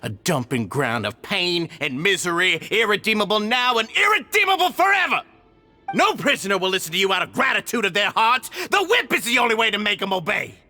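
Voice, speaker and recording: A man's voice: high-pitched, big pitch swings, a little fast, pushed and bright, faint music behind, heavily acted. A man playing an arrogant, cruel prison villain in a video game, menacing and theatrical, full of contempt. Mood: furious, shouting. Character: arrogant, cruel male prison villain, scornful and power-hungry. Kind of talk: dramatic video game voice acting.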